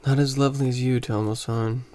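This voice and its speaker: A man's voice: medium pitch, fairly even intonation, brisk, darkish tone, lightly acted. An actor playing a lovelorn, self-mocking American man on an island vacation in a radio play, with an American accent. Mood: tipsy, polite.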